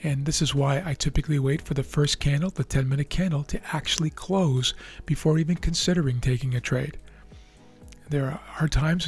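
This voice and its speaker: Raspy man talking through trading charts. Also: mid-range voice. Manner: measured, thinking aloud with fillers and restarts. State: losing his voice. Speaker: trading video host. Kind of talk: explaining live market charts.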